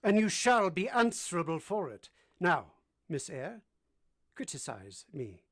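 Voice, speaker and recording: A woman playing a brusque, moody gentleman master in a vintage-style radio drama, commanding and teasing as they question. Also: fairly low pitch, sing-song, measured pace. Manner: imperious, teasing, theatrical questioning.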